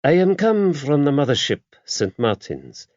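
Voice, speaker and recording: Male narrator as a grand older French abbess, French accent, raised swooping pitch, imperious, poised, formal, audiobook character. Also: very animated, measured pace, a little mellow, dry recording, lo-fi sound. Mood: stately, impish. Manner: stately, formal, emphatic, opinionated.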